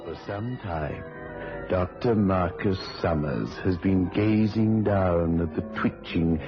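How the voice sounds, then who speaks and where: An actor playing a grave storyteller, narrating a scripted old radio thriller. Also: male voice, sits low, takes it slowly, loud music behind the voice. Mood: grave, suspenseful. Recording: muffled old radio recording.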